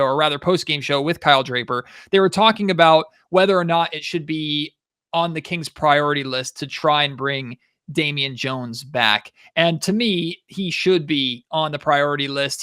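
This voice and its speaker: A man's voice: fairly high pitch, pushed and bright, clean tone. A man hosting a sports podcast, presenting commentary and analysis.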